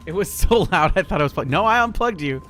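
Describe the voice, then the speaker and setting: A man's voice: high voice, expressive, fast, a bit bright, faint music behind. Chatty, profane man streaming a game. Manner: laid-back. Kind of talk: casual gameplay commentary.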